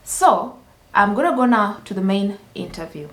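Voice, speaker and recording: A woman's voice: medium pitch, expressive, normal speed, fairly bright tone. Earnest woman from kenya telling her story to camera, lots of restarts, echoey room. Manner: earnest, many restarts and fillers. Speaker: vlogger. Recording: roomy echo.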